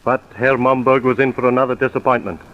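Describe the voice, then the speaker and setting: An actor playing the sober narrator of a crime drama, in scripted old-time radio style. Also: male voice, mid-range voice, steady tone, quick pace, natural voice. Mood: sober, dramatic. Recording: dull vintage radio recording.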